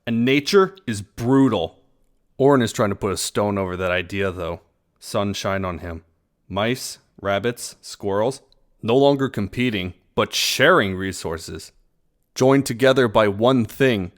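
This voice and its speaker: A man playing a clever, sarcastic small prey animal in a fantasy audio drama, with a wry, measured storytelling manner. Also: medium pitch, natural voice. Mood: wry, grave. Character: knowing, sarcastic, sassy small prey animal, world-weary and sharp.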